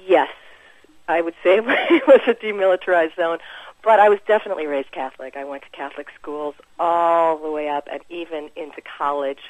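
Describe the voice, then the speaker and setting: A woman's voice: deep voice, fairly quick, dry recording, low-quality recording. An American woman, a musician, speaking casually and informally as an interview guest. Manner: chuckles often, informal.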